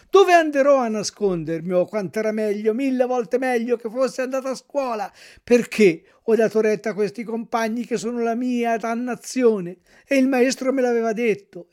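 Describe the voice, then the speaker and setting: A man voicing a stubborn, frightened wooden puppet boy in a storybook reading, weeping and pleading. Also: heavily acted. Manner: weeping, pleading, higher and louder than the narration. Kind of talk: audiobook character reading.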